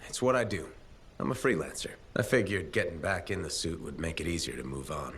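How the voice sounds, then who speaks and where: A man's voice: deep voice, brisk, fairly bright tone, a little hiss or hum. A man playing a wry, guarded freelance mercenary in video game cutscene acting, speaking in a dry, sardonic, evasive way.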